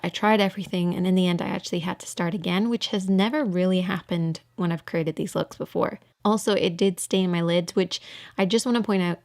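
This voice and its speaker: A woman giving an informal voice-over for a makeup tutorial, explaining things step by step. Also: on the low side.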